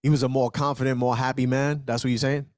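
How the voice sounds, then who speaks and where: Male podcast host, enthusiastic, casual, mid pitch, dry close sound. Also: level tone, quick pace, a little mellow, dry recording. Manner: enthusiastic, repeats "outstanding". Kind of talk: upbeat podcast hosting.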